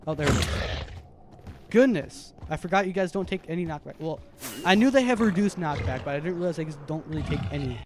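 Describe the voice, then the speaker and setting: A man with a very high voice giving casual, chatty live stream commentary as he plays a video game. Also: background noise. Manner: chatty, self-narrating while playing, easily animated.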